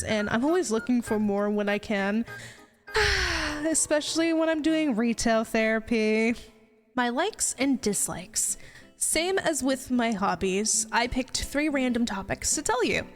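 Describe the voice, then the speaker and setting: A woman in her twenties with an American accent, a native Spanish speaker, chatting casually and playfully as a streamer introducing herself. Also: fairly high pitch. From Ohio, United States. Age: 20s. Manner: playful, giggly, self-deprecating. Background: music.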